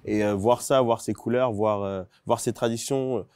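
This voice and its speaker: A man's voice: on the low side, steady tone, normal speed, warm tone, clean tone, no echo, studio quality. A man on a talk show panel, speaking earnestly with frequent hesitations. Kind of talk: casual talk show commentary.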